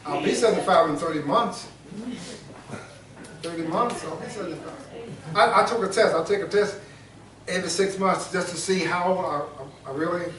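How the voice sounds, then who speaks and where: A man's voice: sits high, normal speed, pauses often. An older man giving an informal, joking health talk to a group, folksy and prone to repeating himself. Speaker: wellness speaker. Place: indoor room with an audience. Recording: roomy, from a distance, with a crowd.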